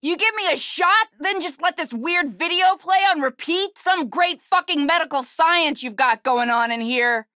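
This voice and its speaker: A woman's voice: very high voice, normal speed, few pauses, bright, forceful tone, no echo, studio quality. An actress playing a tough, guarded young female agent in a horror video game, with a terse, sardonic manner. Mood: angry, agitated.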